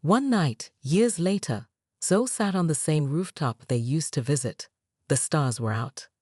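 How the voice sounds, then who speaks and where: A woman narrating a scripted story in a measured, dramatic storytelling manner. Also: low-pitched, expressive, mellow, no echo, studio quality.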